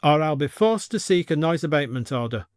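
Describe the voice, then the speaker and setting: A man's voice: fairly high pitch, lively intonation, fairly quick, no room sound, studio quality, close to an everyday voice. A man playing a flustered, pompous village police constable in an audio story, officious and pleading.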